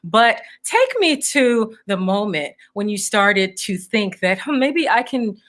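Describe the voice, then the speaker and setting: A woman hosting an interview, with a polished, steady presenter delivery. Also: medium pitch, takes it slowly. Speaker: host. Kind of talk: scripted then conversational interview hosting.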